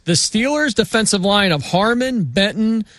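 A man's voice: high-pitched, lively intonation, normal speed, fairly bright tone, no echo, studio quality. Upbeat sports radio host, man. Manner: upbeat, joking, runs the segment. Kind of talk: casual sports talk.

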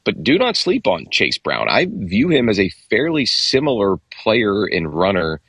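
A man analyzing football on a sports talk show, measured and thinking aloud as he weighs each point. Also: fairly low pitch, wildly swooping pitch, fairly bright tone, husky, no room sound.

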